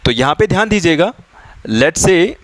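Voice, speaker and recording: Higher-pitched man teaching step by step. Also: big pitch swings, measured pace, no echo. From India. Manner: repetitive, step-by-step explaining, mixing English technical terms into speech. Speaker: physics teacher for exam preparation. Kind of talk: explanatory lesson working through practice questions.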